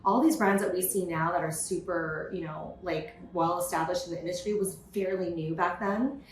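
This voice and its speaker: A woman from California, a podcast guest, telling her story in a lively, casual way with many "you know" fillers. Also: on the low side, moderate pace, echoey room, a little hiss or hum, poor audio. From California, USA. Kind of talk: casual interview conversation.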